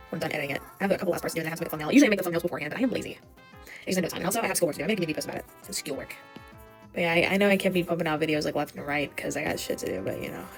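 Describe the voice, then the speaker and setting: Scratchy young woman rambling while drawing. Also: fairly low pitch. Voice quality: scratchy, vocal fry. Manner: rambling, swears often, mutters to herself. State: voice giving out, hoarse. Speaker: art vlogger.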